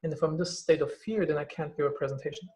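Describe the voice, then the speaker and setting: A man's voice: on the high side, steady tone, very fast, darkish tone. A man with a German accent, a company CEO speaking as a guest in a casual podcast conversation, candid and full of fillers, swearing now and then.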